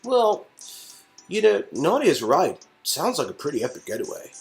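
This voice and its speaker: A flustered young man from a romance game, acted out by a man, evasive and over-eager. His pitch rides high and jumps around as he stammers through his lines, with music playing underneath. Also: wildly swooping pitch, moderate pace, gravelly, exaggerated voice. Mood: hesitant agreement. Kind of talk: hammy visual-novel dub.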